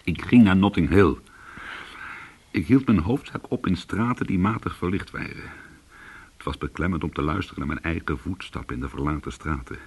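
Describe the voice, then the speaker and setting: An actor playing an anxious, aggrieved ex-convict trying to go straight in a Dutch radio play, with a husky voice and a delivery between subdued and agitated. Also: male voice, low voice, moderate pace, a little mellow. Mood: weary, tense. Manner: swings from subdued to agitated protest. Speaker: lead character and narrator.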